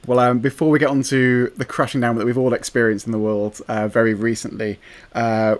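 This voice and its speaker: A man's voice: medium pitch, level tone, fairly quick. Easygoing male podcast host. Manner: easygoing, leading questions with ums. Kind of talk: podcast interview.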